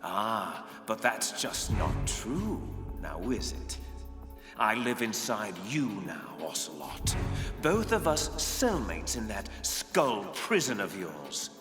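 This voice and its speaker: An actor playing a smug, mocking spirit villain who possesses a man's mind, speaking deliberately in a video game drama. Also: fairly low pitch, sing-song. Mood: smug menace. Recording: heavy echo, long reverb, music behind.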